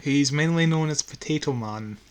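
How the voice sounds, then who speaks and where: A man's voice: on the high side, moderate pace, smooth. A man co-hosting a podcast, chatting casually and informally. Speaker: podcast co-host.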